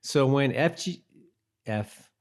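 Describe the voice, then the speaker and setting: A man's voice: mid-range voice, takes it slowly. A man co-hosting a rewatch podcast in casual, conversational talk. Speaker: podcast co-host.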